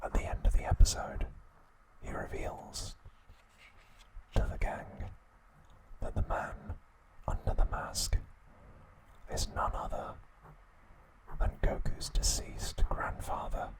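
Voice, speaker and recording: A man narrating an episode recap in an ASMR style, in a breathy, unvoiced whisper with deliberate pauses between short phrases. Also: poor audio.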